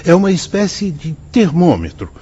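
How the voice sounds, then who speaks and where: A very husky male voice with a Brazilian accent on slightly dull audio. a wise spiritual mentor in a radio drama, teaching solemnly and patiently with animated intonation. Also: high voice, full character voice. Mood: patient, explaining. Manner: solemn, didactic, measured. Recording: dull, muffled studio audio.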